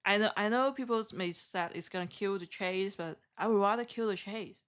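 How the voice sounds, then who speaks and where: A woman from Hong Kong with a heavy Hong Kong accent, chatting with viewers on a live trading stream in halting, self-correcting English. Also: medium pitch, fairly bright tone. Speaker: day trader streaming live.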